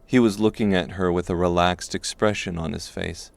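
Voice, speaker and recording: A man narrating a scripted dark fantasy audiobook. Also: sits low, fairly even intonation, moderate pace, vocal fry.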